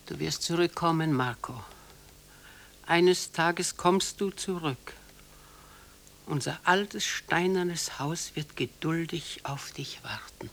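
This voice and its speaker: A woman's voice: low-pitched, slow, a bit bright, full character voice. An older actress playing a proud, possessive old mother with imperious, emotional delivery in a German radio drama. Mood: desolate, yearning. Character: proud old lady, possessive mother shut in her house. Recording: hissy 1960s radio play recording.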